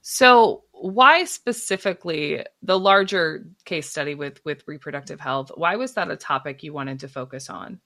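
A woman hosts an interview podcast in a slightly low voice. She introduces her guest and asks friendly, measured questions. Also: fairly bright tone. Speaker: podcast host.

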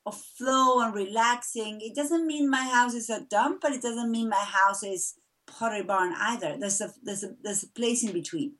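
A woman's voice: on the high side, moderate pace, fairly bright tone, distant mic. A middle-aged woman from Argentina, a mother of four, chatting as an interview guest with frequent laughs and fillers. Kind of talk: casual interview chat.

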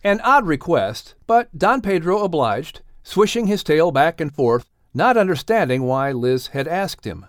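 A man announcing and narrating a children's audiobook in an animated scripted storytelling style. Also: fairly high pitch, unhurried, hardly any pauses, no echo, studio quality.

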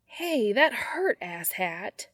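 A man's voice: sits high, big pitch swings, very slow, a bit bright, no echo, studio quality, pitched above the performer's own voice, exaggerated voice. A woman voicing an affectionate, amused younger brother in a story, teasing and given to whining and exclaiming. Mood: whiny.

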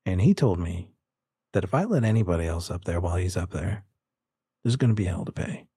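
Man playing a low-voiced, weary hotel clerk. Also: a little fast, husky, dry recording, clean studio sound, full character voice. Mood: weary, unhelpful. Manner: slangy, deadpan. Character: world-weary, disinterested male hotel desk clerk, folksy tough talk.

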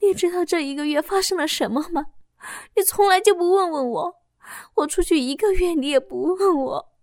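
A woman's voice: extremely high pitch, normal speed, a little mellow, no room sound, clean studio sound, voice pushed up. A woman voicing a distraught, guilty young wife who begs tearfully in a storybook reading. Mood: tearful pleading. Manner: tearful, begging.

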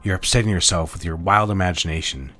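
A man's voice: deep voice, quick pace, pushed and bright, soft background music. An actor playing a stern, condescending married man placating his mistress in an audio drama, with a controlling manner. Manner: patronizing, controlling.

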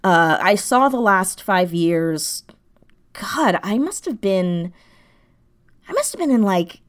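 Female interview guest, mid-pitched. Casual, animated, self-deprecating, laughs easily. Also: takes it slowly. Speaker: interview guest, audio drama writer and performer.

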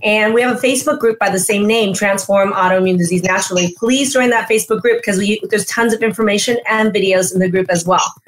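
A woman's voice: mid-range voice, a bit bright, slight background noise, low-quality recording. Upbeat woman hosting a health show. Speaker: podcast host and physician.

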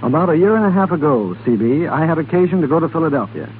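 A narrow, lightly hissing 1930s radio recording of an American man being interviewed. His voice is a little high, and he delivers prepared answers in a stiff, read-out way. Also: animated, quick pace. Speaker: studio talent executive as radio guest. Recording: tinny, hissy 1930s radio broadcast.

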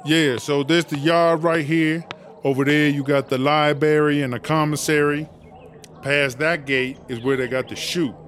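A man's voice: fairly high pitch, flat delivery, normal speed, fairly bright tone, natural voice. A man playing a boastful, slangy female inmate who raps, with swaggering, profane talk, in a comedy audio drama. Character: brash, boastful female prison inmate and rapper. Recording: music behind the voice.

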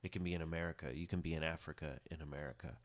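A man hosting a podcast, chatting in a laid-back, musing way on a studio mic and trailing off into low mutters. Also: fairly even intonation, very fast. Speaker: podcast host. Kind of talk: casual podcast conversation.

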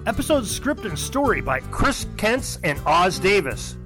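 A man's voice: high voice, big pitch swings, unhurried, low-quality recording. A man reading scripted podcast credits and promos as an announcer and host. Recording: music behind the voice.

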